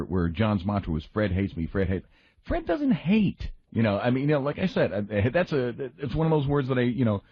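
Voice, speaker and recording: A man in a casual radio discussion, speaking in a halting, rambling, aggrieved way. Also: on the low side, slightly rough. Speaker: radio show staff member and comedy writer. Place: radio studio. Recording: slightly dull, lo-fi radio audio.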